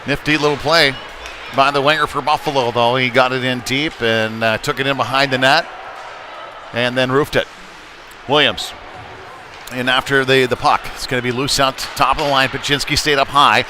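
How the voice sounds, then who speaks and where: A man calling live hockey play-by-play, mixing conversational analysis with excited surges on big plays. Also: medium pitch, normal speed. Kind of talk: live sports commentary. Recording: noisy rink ambience behind live commentary. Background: busy ice rink noise.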